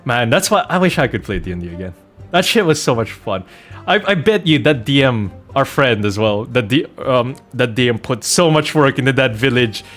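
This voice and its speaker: A man with an average-pitched voice talks casually as a game streamer. He spins anecdotes in an animated, jokey manner, sliding into mock-innocent protest. Soft music plays behind him. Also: moderate pace. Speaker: streamer playing a video game and host of the channel.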